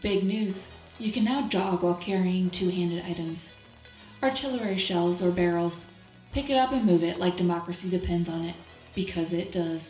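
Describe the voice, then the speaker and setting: A woman's voice: on the low side, fairly even intonation, normal speed, echoey room, highs cut off, soft background music, lightly acted. A woman playing an upbeat, zealous wartime radio presenter, reading news in a punchy, propaganda-slogan style. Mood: upbeat, patriotic. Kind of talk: scripted in-universe radio news update.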